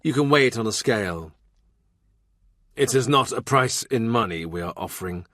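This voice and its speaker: A man's voice: medium pitch, lively intonation, moderate pace, fairly bright tone, dry recording, clean studio sound. A man reading a historical novel as scripted literary audiobook narration.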